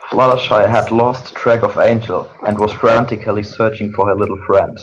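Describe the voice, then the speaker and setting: Low-voiced man narrating, muffled audio. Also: fairly even intonation, moderate pace. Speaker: fan fiction reader. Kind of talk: amateur scripted story narration. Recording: muffled amateur recording with hiss.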